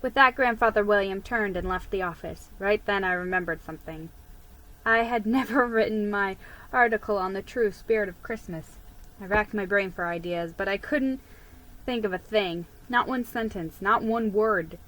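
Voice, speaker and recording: A woman giving an expressive, theatrical reading of a children's story. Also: mid-range voice, moderate pace, low-quality recording. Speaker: storybook reader.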